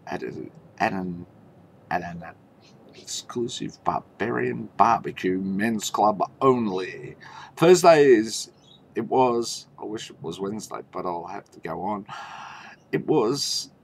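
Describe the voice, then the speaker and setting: A man telling a mock fairy tale in a theatrical, tongue-in-cheek way, with halting pauses and knowing asides. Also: lowish voice, animated, gravelly, slight background noise. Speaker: storyteller.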